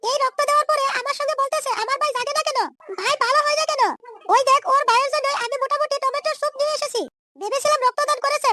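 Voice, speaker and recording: Chattering squeaky cartoon nurse. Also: female voice, pushed and bright, no room sound, clean studio sound. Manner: fussy, reassuring, insistent. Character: caring, chatty young female hospital nurse. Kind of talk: comedy cartoon dub.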